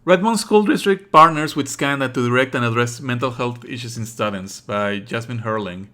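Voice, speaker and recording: A man giving live let's play commentary and reading game text aloud, exasperated and sarcastic, with animated outbursts and frequent swearing. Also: medium pitch, normal speed. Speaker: streamer playing and commenting on a visual novel.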